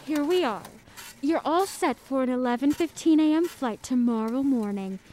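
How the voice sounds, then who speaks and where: Lady playing polite sympathetic airline counter agent, high soft mellow voice, audio drama, bit of noise behind. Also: moderate pace, lightly acted. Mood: courteous, sympathetic. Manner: polite, formal customer service patter. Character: courteous, sympathetic airport airline desk agent. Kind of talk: comic radio drama acting.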